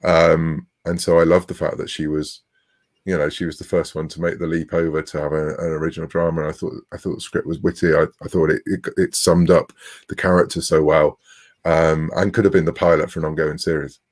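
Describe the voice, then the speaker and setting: A man's voice: a bass voice, flat delivery, a little fast. A middle-aged man talking casually as an interview guest, chatty and often interrupting himself.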